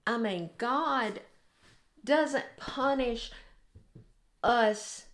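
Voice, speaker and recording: An older woman with a Southern US accent, sharing an informal devotional in an earnest, deliberate way. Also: on the high side. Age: 60s or older. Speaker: host of a devotional video. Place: home kitchen, indoors.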